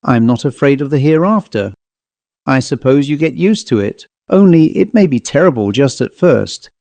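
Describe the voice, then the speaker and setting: A man's voice: medium pitch, animated, normal speed, a little mellow, dry recording, natural voice. A man voicing a bitter, reproachful middle-aged woman in a read-aloud ghost story. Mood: fearful. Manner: reproachful, accusing.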